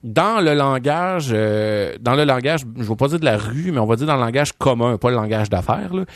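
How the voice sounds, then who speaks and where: A man's voice: medium pitch, expressive, moderate pace, no room sound. Québécois man, casual podcast guest, rambling, dry close mic. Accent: Québécois French. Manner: rambling, many fillers and restarts.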